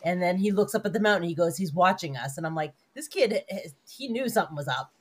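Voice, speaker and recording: A woman co-hosts a casual film review podcast, walking through scenes and voicing the characters' lines as she goes. Her voice sits fairly low, and her talk is loose and full of fillers. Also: animated, fast, slightly rough. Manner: retells scenes with quoted dialogue, many fillers. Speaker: podcast co-host. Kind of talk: casual movie review chat.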